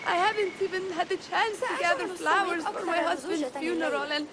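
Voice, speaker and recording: A woman's voice: very high-pitched, a little fast, a loud crowd, heavy background noise. An actress voicing a frantic, tearful widowed mother in a game. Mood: distraught. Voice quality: tearful, pleading.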